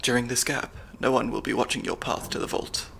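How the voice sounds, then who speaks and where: A man as a gravelly, wry spy handler. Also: medium pitch, fairly quick, a little hiss or hum. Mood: analytical. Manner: analytical, dry wit, soft-spoken. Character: male stand-in spy handler, nerdy, wry, slightly anxious. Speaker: ASMR roleplay performer. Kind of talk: ASMR audio roleplay.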